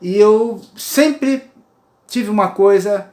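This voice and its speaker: A Brazilian man in his sixties speaks in a fairly high voice, earnest and a little rambling, as he shares his views from home. He keeps turning to his listeners to check they are following. The sound is roomy, with the echo of a bare room at home. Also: slow, a little mellow. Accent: Brazilian Portuguese. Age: 60s. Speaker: poet and writer sharing views. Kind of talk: earnest personal monologue to camera. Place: his apartment.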